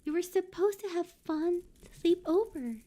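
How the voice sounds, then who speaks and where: A woman voicing a sweet, clingy yandere schoolgirl from a game, in a soft, doting way. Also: very high-pitched, measured pace, pitched above the performer's own voice. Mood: apologetic.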